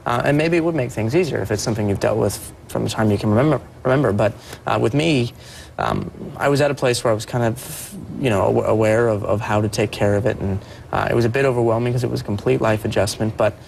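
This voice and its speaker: A young man speaking as a performer guest on a TV interview, soft-voiced and earnest, often restarting his sentences. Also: medium pitch, fast, gravelly, a little hiss or hum. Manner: earnest, with many restarts and repeated words. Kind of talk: TV morning show interview.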